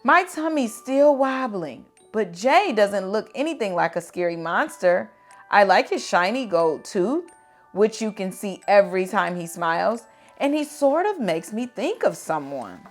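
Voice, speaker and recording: A woman reading children's picture books aloud in an expressive, dramatic and deliberate storytelling style. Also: medium pitch, faint music behind.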